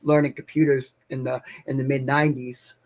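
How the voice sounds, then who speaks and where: A man's voice: medium pitch, little pitch movement, a little fast, muffled recording. Hesitant man in his forties, guest. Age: 40s. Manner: hesitant, repeats words. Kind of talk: casual chat.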